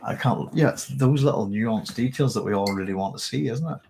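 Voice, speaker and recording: A man's voice: mid-range voice, a little fast, vocal fry, darkish tone, a little hiss or hum. A man with a Northern Irish accent, hosting a casual live stream, chatting and reading comments, his throat tickly with a cough. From Northern Ireland. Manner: rambling, chatty, frequent fillers and restarts.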